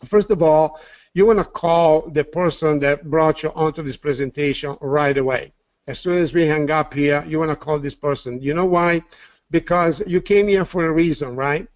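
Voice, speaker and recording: A man's voice: a little high, moderate pace, dry recording. A man with an Italian accent presenting a sales webinar, upbeat and repeating words with "uh" fillers. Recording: dull, dry lo-fi audio.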